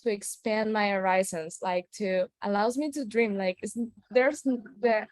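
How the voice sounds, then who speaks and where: A woman from Venezuela, Spanish her first language, speaks earnestly over a video call. She talks at a medium pitch, often restarting as she searches for words. The sound is close and dry, with no room in it. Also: steady tone, unhurried, no room sound. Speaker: storyteller guest. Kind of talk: personal show-and-tell story on a video call.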